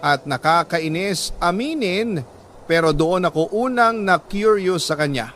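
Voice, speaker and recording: A man with a Filipino accent reading a scripted first-person story like a radio storyteller, earnest and measured, with dramatic pauses. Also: high-pitched, animated, a bit bright, a little hiss or hum. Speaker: radio-style storyteller reading a letter sender's love story.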